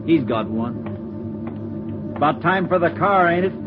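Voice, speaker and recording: A menacing, folksy American criminal from an old radio play. He drawls slowly in a medium-pitched voice. The sound is tinny and narrow, with music in it. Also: animated. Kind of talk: 1940s radio drama acting.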